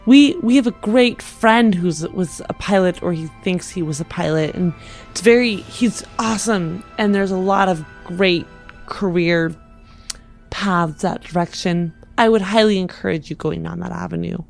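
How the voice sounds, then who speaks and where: A woman's voice: fairly low pitch, moderate pace, gravelly, faint music behind. A woman playing a tabletop game, improvising dialogue in character.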